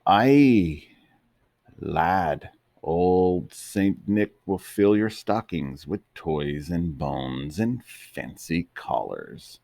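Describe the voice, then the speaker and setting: A man's voice: deep voice, animated, very slow, vocal fry, darkish tone, dry recording, heavily acted. A man voicing a hearty old male terrier with a thick Scottish accent in a storybook reading.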